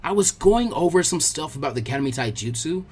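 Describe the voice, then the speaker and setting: Man voicing a husky, lively young ninja boy. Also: on the high side, quick pace. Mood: curious. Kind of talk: fan fiction audiobook character voice.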